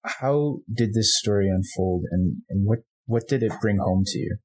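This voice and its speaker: A man interviews an author. He speaks in a fairly low register with a slightly husky edge. He puts his questions together carefully and deliberately. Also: a little mellow.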